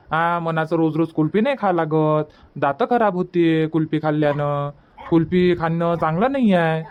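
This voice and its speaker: High-voiced patient village father, acted by a man. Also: close to an everyday voice. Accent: Varhadi Marathi. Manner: coaxing, repetitive moral advice. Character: patient, gentle village father advising his wife. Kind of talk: cartoon comedy dubbing.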